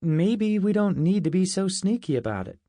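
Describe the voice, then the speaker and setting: A man's voice: high voice, very animated, normal speed, warm tone, no echo, studio quality, pitched above the performer's own voice. A man voicing the wisecracking boy hero of a comic story, blunt and sarcastic. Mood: suggesting.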